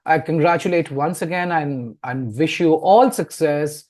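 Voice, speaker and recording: A man hosting a literary interview, speaking deliberately and repeating words for emphasis. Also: a little high, a little mellow. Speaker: interviewer, poet and publisher.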